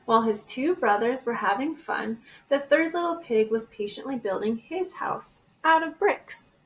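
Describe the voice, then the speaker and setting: A woman teacher reading a children's storybook aloud in an expressive way. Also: fairly high pitch, normal speed, poor audio. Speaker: elementary school teacher.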